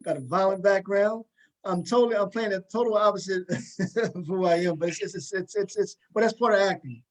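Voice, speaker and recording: A man's voice: sits high, fairly quick, poor audio. A man hosting a casual panel interview, often repeating words as he starts his sentences. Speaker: talk show host and actor.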